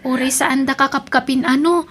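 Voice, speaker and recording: A woman's voice: high voice, fairly even intonation, brisk, fairly bright tone. A woman playing a hurt but grateful young daughter in a Filipino audio drama, with a plaintive delivery.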